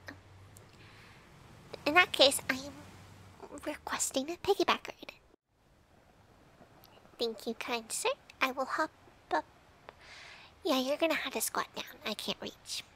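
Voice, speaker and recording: A woman playing a bratty but affectionate, easily flustered young girlfriend in an intimate ASMR roleplay, pouty and teasing, often dropping to breathy murmurs. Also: sits high, lively intonation, a little slow, long pauses, pushed and bright, slight background noise, heavily acted.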